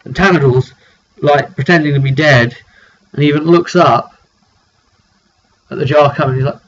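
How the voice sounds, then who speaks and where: A man recounting a tabletop fantasy roleplay session as game master, casual and improvised, in a halting, informal way with some throat clearing. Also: on the high side, fairly bright tone. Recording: dull lo-fi audio with faint noise.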